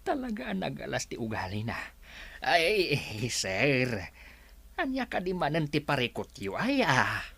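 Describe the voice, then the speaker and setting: A meek, jittery manservant in a radio drama, voiced by a woman. He talks slowly and stammers, in a low voice that swoops up and down. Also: huge pitch swings, vocal fry, a bit bright, husky, no room sound, exaggerated voice. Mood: fretful. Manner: stammering, fretful. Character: meek, jittery male servant, Temyong.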